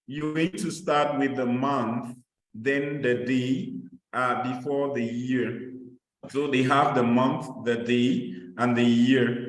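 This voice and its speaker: Cameroonian man, mentor, explanatory, halting with uhs, middle pitch, echoey room. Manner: explanatory, repeats points with examples, frequent uh fillers. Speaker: mentor presenting application guidance. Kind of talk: online presentation walking through a form.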